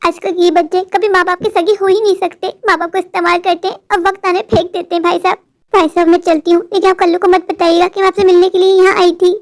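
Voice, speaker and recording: A woman's voice: very high voice, flat delivery, quick pace. A voice actor playing a scheming middle-aged mother who talks sweetly to others and makes sly, threatening asides in a cartoon. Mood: confiding. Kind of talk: cartoon voice acting.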